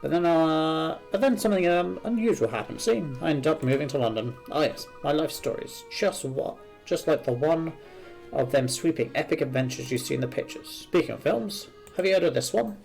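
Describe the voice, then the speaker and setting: A man's voice: fairly high pitch, a little fast, vocal fry, a little mellow, voice pushed up, rough recording. A chatty woman from a video game, acted out by a man, with a lifted, singsong voice. She spins everything into a dramatic tale. Game music plays behind her. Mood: chatty, teasing. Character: chatty Welsh woman, nosy and dramatic storyteller.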